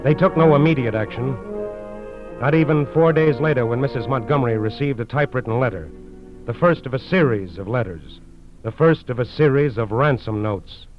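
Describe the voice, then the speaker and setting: An actor with an American accent as a solemn narrator, delivering dramatic scripted 1940s radio crime narration. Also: male voice, mid-range voice, fairly quick, close to an everyday voice. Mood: grave. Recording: muffled old radio broadcast. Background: dramatic music.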